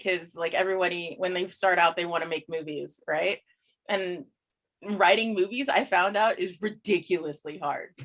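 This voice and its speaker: A woman chatting casually as a podcast guest, telling anecdotes in an animated, informal way and acting out bits of dialogue. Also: mid-range voice. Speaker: podcast guest, writer and show creator.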